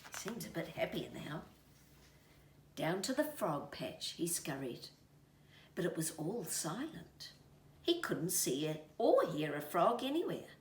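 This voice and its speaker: A woman reading a children's story aloud in an expressive, deliberate way. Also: fairly low pitch. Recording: roomy, distant mic, faint noise.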